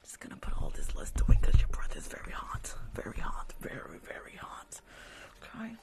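A woman's voice: moderate pace, a little mellow. A woman doing a whispered ASMR roleplay as a rude dentist, bossy and teasing, often repeating short phrases. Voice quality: breathy whisper with creaky edges. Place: small room at home, indoors.

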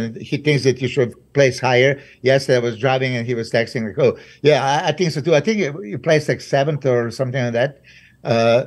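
A man speaking as a guest on a video call, hesitant and full of pauses and fillers. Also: medium pitch. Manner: hesitant, many uhs. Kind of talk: casual video-call chat.

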